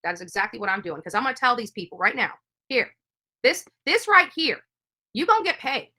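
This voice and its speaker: A woman's voice: on the high side, sing-song, brisk, bright, forceful tone. A woman live streamer ranting emphatically and indignantly, repeating herself for emphasis. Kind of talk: impassioned live stream rant.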